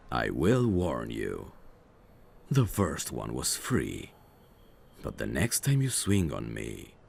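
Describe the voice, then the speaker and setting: A man playing a suave, teasing mafia boss in an ASMR audio roleplay, flirtatious with a faint edge of menace and an unhurried manner. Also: rumbling low, gravelly, slight background noise, heavily acted.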